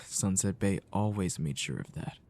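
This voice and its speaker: Man acting mellow, wistful childhood friend. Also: low-pitched. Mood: reflective. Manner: slow, halting, reflective. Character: wistful, caring male childhood friend, weary of adulthood. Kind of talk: ASMR roleplay, soft intimate talk.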